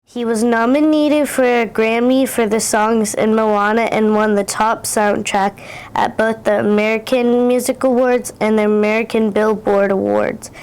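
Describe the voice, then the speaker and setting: A woman's voice: a little high, darkish tone. Young girl reading interview questions. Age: child, fourth grader. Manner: careful, scripted reading. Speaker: student interviewer.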